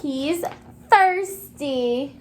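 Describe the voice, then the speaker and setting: High-voiced woman teacher reading to young children. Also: poor audio. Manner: expressive, asking questions and pausing as if children answer; encouraging, simple child-directed phrasing. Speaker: kindergarten teacher. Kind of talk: interactive children's read-aloud lesson.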